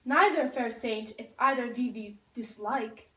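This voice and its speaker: High-voiced woman as lovestruck young Romeo, echoey. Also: unhurried, pushed and bright. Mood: earnest. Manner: declaiming verse, reciting from a script. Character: Romeo puppet, lovestruck young man, ardent and poetic. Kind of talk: Shakespeare recited for a school puppet show. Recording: echoey room, far from the mic.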